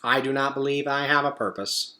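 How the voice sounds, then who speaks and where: A man voices a gentle storybook robot, earnest and selfless. It speaks in measured, plain and formal phrases at a medium pitch. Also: steady tone, natural voice. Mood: humble.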